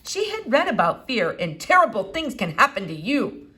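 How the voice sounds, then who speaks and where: A woman reading a children's novel aloud in an expressive, animated way with dramatic pauses. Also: fairly high pitch. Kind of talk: expressive storybook read-aloud.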